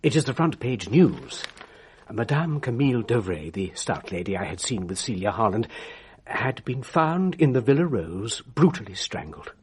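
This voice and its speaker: An actor playing a genteel, fussy old bachelor gentleman in a radio drama, telling his story precisely with theatrical pauses. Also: medium pitch, big pitch swings, lightly acted. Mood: earnest.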